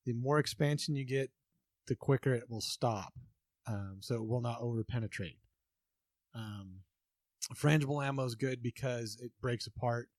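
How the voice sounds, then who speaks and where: A man's voice: medium pitch, normal speed, darkish tone, no echo. A man co-hosting a casual podcast, explaining things in an easygoing way with many ums and uhs. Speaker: podcast co-host.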